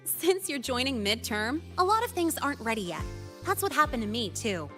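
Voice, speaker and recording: A woman's voice: fairly high pitch, big pitch swings, normal speed, sharp tone. A woman voicing a breezy, eager young female student in an anime-style video game, perky and casual. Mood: cheerful, guiding. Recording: music behind.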